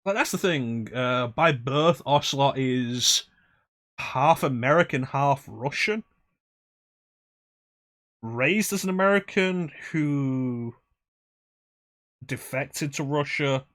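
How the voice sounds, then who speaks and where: Young man, game streamer, rambling, self-deprecating, mid-pitched voice. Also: expressive, slow pace, long pauses, a bit bright. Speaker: streamer host. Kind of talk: casual chat while gaming.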